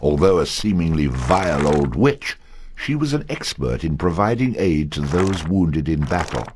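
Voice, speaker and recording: An actor voicing a solemn male narrator for a game, with a measured, dramatic delivery. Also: a bass voice, sing-song, background noise. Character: grandly solemn male narrator, prone to getting carried away. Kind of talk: scripted storybook narration.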